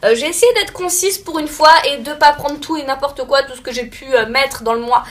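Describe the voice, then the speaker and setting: Young woman, high voice, slightly stuffy from a cold, chatty, lots of fillers and self-corrections. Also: brisk, fairly bright tone. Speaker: beauty vlogger. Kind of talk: casual monthly favorites chat.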